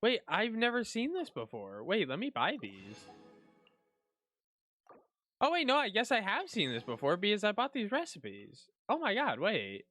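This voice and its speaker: A man with a fairly high, very bright voice commentates casually on a video game. His pitch swings in a lively way as he thinks aloud, with game music underneath. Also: moderate pace. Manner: informal, reacting and thinking aloud. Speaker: gaming content creator.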